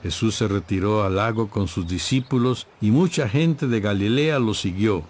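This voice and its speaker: A man giving scripted, measured and solemn narration for a dramatized audio Bible. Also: on the low side, a little mellow.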